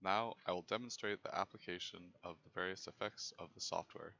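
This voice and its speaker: A young man narrates a technical presentation in a very low voice with a lot of fry. His delivery is measured and formal, and the recording sounds slightly dull and lo-fi. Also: fairly even intonation, sharp tone, slight background noise. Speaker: presenter of a student software project.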